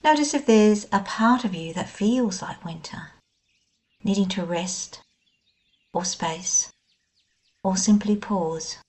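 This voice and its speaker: A woman guiding a scripted meditation in a gentle, soothing, unhurried manner. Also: mid-range voice. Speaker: meditation guide. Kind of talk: scripted guided meditation narration.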